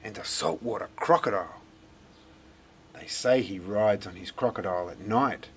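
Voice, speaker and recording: Man playing an excitable, gossipy storybook kid. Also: fairly low pitch, moderate pace, a little hiss or hum, close to an everyday voice. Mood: spooked, gleeful. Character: excitable, gossipy children spreading scary rumours. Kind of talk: storybook character voice.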